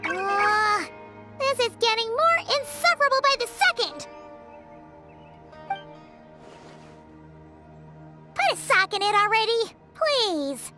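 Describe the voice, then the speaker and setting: A woman's voice: extremely high pitch, big pitch swings, normal speed, pushed and bright, faint music behind, heavily acted. A woman voicing a tiny, bossy and dramatic fairy girl companion who talks about herself in the third person in a game cutscene. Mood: exasperated.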